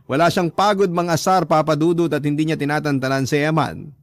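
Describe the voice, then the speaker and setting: A man's voice: fairly high pitch, steady tone, brisk, no room sound, studio quality. A man with a Filipino accent reading a first-person story as the narrator of a radio drama.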